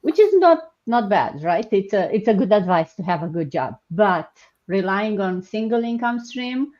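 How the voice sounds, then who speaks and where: Woman host, from Romania, chatty and halting, dull video-call sound. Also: medium pitch, animated, darkish tone. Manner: chatty, hesitant, many fillers. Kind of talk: live online panel hosting.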